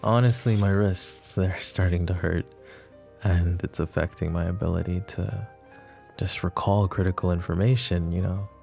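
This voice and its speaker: Guy doing a smug possessive mafia boss for asmr roleplay, dark mellow creaky voice, teasing flirty drawl. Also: low-pitched, fairly even intonation, moderate pace, faint music behind. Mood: coaxing. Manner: teasing, mocking, intimate drawl with pet names.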